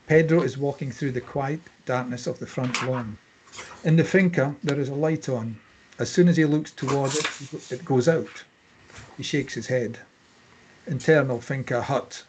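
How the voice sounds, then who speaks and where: A man's voice: medium pitch, slight background noise. A man reading screenplay stage directions as scripted narration, in clipped phrases.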